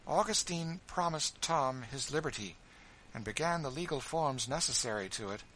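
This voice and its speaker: A man voicing an earnest, energetic middle-aged spinster who pleads her case. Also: moderate pace, slight background noise, rough recording. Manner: earnest, pleading, raised pitch.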